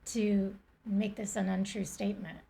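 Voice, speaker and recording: A woman with a raspy voice, a guest and TV morning show co-host, speaking candidly and with some self-doubt in a casual podcast conversation. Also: medium pitch, little pitch movement, moderate pace, warm tone.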